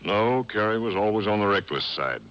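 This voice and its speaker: An actor playing a guarded, menacing gambling-club boss in a 1950s radio crime drama, with terse, cool delivery. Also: male voice, on the low side, expressive, normal speed, highs cut off, natural voice. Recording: old radio broadcast taped on cassette.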